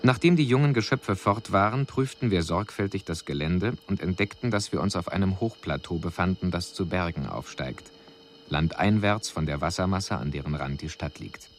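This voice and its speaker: Alien envoy, very deep, expressive pitch movement, formal, analytical, measured, radio drama report, slightly noisy recording. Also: male voice. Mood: clinical, observant. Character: male alien envoy soberly reporting on a doomed planet.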